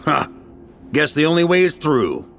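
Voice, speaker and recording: An actor playing a seasoned, unflappable knight commander in a video game, with a wry, musing manner. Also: male voice, a little high, big pitch swings, a little slow, vocal fry, a bit bright, soft background music, exaggerated voice. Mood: calm, resolute.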